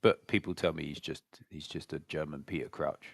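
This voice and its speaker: A man's voice: extremely low pitch, steady tone, a little fast, vocal fry, no echo, clean studio sound. A man hosting a casual football chat, blunt and opinionated with some swearing. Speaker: football podcast host.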